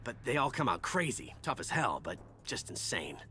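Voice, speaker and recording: A wounded video game mercenary with an animated, sharp voice. He gasps in pain, defensive and cynical, over faint background noise. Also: medium pitch, moderate pace. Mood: nervous, defensive. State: in pain, gasping. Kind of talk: video game cutscene acting.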